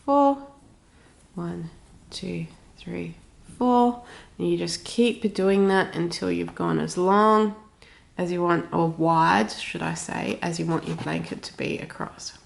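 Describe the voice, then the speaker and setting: A woman's voice: fairly low pitch, slow pace, vocal fry. A woman with a cold and a snuffly nose, chatting informally through a step-by-step craft tutorial. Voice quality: snuffly, slightly stuffed-up nose. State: has a cold. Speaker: crochet tutorial host.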